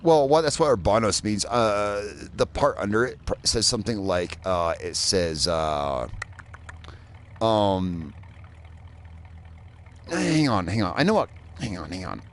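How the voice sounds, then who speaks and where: A man's voice: lowish voice, big pitch swings, a little slow, long pauses. A man from Indiana, streaming a game and chatting loosely, rambling and swearing as he plays. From Indiana, USA. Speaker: gaming streamer. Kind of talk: casual live gameplay commentary.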